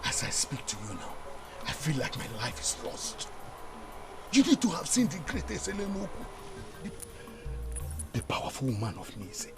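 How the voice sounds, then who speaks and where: A shaken messenger speaks, his pitch swooping wide. Music plays strongly beneath him. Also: wildly swooping pitch, normal speed, pauses often. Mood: grim. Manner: hushed, grim telling.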